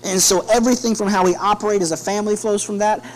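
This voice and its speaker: A man's voice: high voice, quick pace, poor audio. A man in his thirties preaching a sermon in an earnest, conversational way, often restarting his phrases. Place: church sanctuary, indoors at a pulpit. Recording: steady electrical hum behind the voice.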